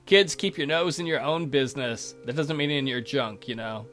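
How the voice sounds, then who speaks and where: A man hosting a let's play with casual, crude, joking commentary in offhand deadpan asides. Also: mid-range voice, normal speed, faint music behind.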